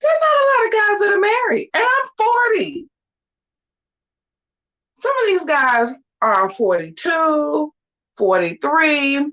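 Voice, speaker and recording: A woman's voice: extremely high pitch, lively intonation, slow, long pauses, pushed and bright, dull audio. A woman in her forties chatting casually to her viewers while getting ready, sassy and full of slangy asides. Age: 40s. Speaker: vlogger. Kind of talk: casual get-ready-with-me chat.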